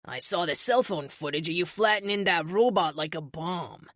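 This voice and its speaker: A woman's voice: on the low side, normal speed, a bit bright, no room sound, clean studio sound. A woman voicing a brash, good-humored male speedster with a Boston accent, casual and joking, in an audiobook. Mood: admiring.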